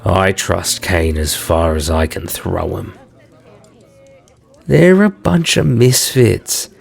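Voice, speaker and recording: A man's voice: low-pitched, expressive, takes it slowly, vocal fry, full character voice. A man voicing a skeptical, protective crocodile in an audio drama, with a wry, colloquial way of talking. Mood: grumbling. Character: worried, skeptical male crocodile, protective best friend. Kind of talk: fan audio drama acting.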